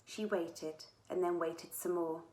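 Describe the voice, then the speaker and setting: A woman reading a children's story aloud as its author, in an expressive storytelling manner. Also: on the low side, slow pace. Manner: expressive, with dramatic character dialogue. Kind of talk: scripted children's story narration. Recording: echoey room sound.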